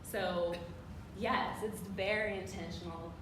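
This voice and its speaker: Blunt, low-voiced woman filmmaker. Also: fairly even intonation, slow pace, background noise. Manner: blunt, passionate, casual with swearing. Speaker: panelist, filmmaker. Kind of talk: panel discussion. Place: theater with audience. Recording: echoey theater from far off.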